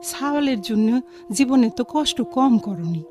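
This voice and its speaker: A woman's voice: a little high, lively intonation, normal speed, mellow, lightly acted. An actress playing an affectionate, apologetic old vagrant woman with a rural Bangladeshi accent in a radio drama, pleading and repeating her phrases. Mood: tender. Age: older. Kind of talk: Bengali radio drama acting.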